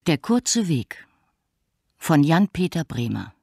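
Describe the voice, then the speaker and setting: A woman reading a scripted closing announcement for a radio play in a husky, breathy voice. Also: low voice, big pitch swings, slow, darkish tone, no echo, studio quality. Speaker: credits announcer.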